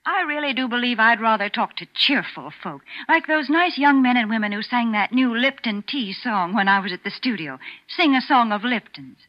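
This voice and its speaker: A woman's voice: a little high, animated, moderate pace, hardly any pauses. A woman doing folksy, chatty advertising banter in 1940s radio style. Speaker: commercial spokeswoman. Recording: muffled old radio recording.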